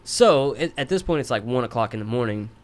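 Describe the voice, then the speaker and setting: A man's voice: mid-range voice, lively intonation, brisk. A man telling a long, rambling story on a podcast, casual and profane, poking fun at himself. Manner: rambling, profane, self-mocking.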